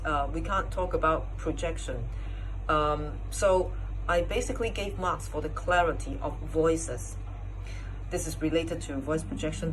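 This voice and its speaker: A woman's voice: low voice, low-quality recording. A woman speaking as a competition judge, explaining her feedback point by point. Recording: clear background noise.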